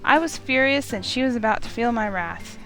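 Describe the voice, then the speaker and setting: A woman reading a visual novel aloud as expressive first-person narration. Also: fairly high pitch, fairly quick, soft background music, rough recording.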